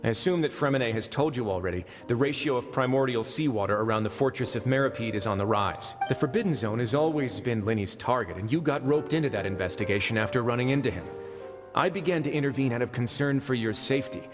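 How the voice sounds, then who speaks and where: A man playing a composed, wry fortress warden in a video game, formal and measured. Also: mid-range voice, in a large hall, music in the background, close to an everyday voice.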